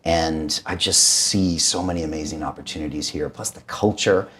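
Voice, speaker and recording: Super deep voiced guy hosting a podcast, chatty, emphatic, repeats himself. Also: moderate pace, a bit bright. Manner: emphatic, reflective, repeats words. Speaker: podcast host. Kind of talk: casual interview chat.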